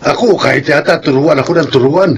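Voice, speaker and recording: A man playing a kindly, simple older foster father in a Filipino radio drama, folksy and gently grumbling. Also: a little high, quick pace, a little hiss or hum, close to an everyday voice. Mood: reassuring, folksy. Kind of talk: Filipino radio drama acting, homely conversation.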